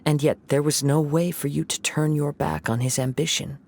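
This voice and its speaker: An actor voicing a calm, measured young male wandering samurai who speaks in a reflective way. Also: on the high side, creaky, slight background noise.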